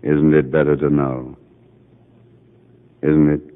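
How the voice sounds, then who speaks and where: An actor playing a calm, patient, authoritative psychiatrist who questions with gentle insistence, in 1950 radio drama style. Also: male voice, very deep voice, slow pace. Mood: gently insistent. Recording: muffled narrow-band 1950 radio broadcast.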